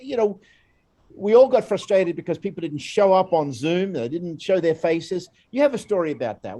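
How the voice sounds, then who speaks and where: Australian man, program director; rambling conversational presenter, slightly high and mellow. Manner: chatty, rambling, warm encouragement of his guest. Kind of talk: informal recorded presentation.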